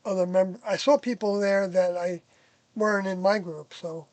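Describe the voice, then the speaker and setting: Older interviewee recalling the past off the cuff; halting, thoughtful delivery with frequent pauses, very little room sound. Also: mid-range voice, steady tone, dry recording. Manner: hesitant, searching memory with long pauses. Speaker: interviewee, former member of a group recalling past experiences. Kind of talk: casual reminiscing.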